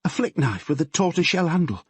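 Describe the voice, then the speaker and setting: A man's voice: fairly high pitch, sing-song, fast, breathy edge, no echo, pitched above the performer's own voice. A man reading the part of a frightened, tearful teenage girl telling her policeman father what happened. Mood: anxious. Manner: shaky, pleading, breathless.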